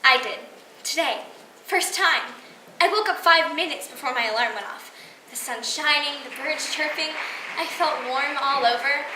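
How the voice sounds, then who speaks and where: A young teenage girl performing a stage monologue as an excitable, hopeful young woman, chatty and dramatic. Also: high voice, normal speed. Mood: excited, hopeful. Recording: roomy, distant, noisy live recording.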